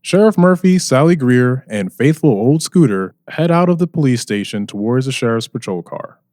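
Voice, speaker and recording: A man acting as a wry, theatrical narrator, reading scripted radio drama narration in a deliberate storytelling manner. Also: mid-range voice, lively intonation, a little mellow, no echo, lightly acted. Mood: dramatic. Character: dramatic, wry male narrator of a mystery serial.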